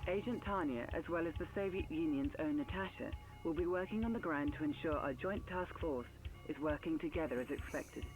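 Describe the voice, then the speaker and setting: An actor playing a businesslike military briefing officer in a strategy game, speaking in a crisp, official manner. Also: male voice, fairly high pitch, a little fast, highs cut off, soft background music, lightly acted. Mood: formal.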